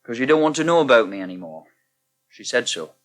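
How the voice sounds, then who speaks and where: An actor playing an easygoing houseguest in a radio soap, frank, wry and reassuring. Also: male voice, mid-range voice, very animated, fast, fairly bright tone. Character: easygoing, candid male houseguest. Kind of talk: British radio soap acting.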